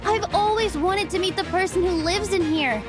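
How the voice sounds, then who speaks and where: A woman's voice: very high-pitched, normal speed, music in the background. A woman playing an exuberant, playful young warrior princess in a video game cutscene, showy, bubbly and brash. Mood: eager.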